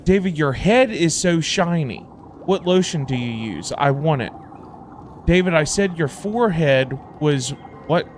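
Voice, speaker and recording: A man's voice: fairly high pitch, expressive, measured pace, a little hiss or hum. A man hosting a casual livestream, talking to viewers in a rambling, stop-start way and often repeating himself. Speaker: livestream host. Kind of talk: casual livestream chat, reading and answering viewer messages.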